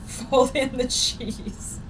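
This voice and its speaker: A woman's voice: sits high, fairly even intonation, drawn-out words. A woman author hosting a casual livestream, rambling with frequent laughs and fillers. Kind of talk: casual chat while streaming a game. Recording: distant mic with noticeable noise.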